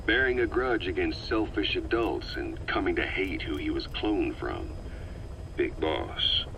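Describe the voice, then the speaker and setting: A male actor voicing a calm, calculating veteran intelligence officer in a video game, speaking in a measured, reflective way on a taped conversation. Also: deep voice, rough recording. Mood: brooding. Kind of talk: video game dialogue on audio tape. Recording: cassette recording with background noise.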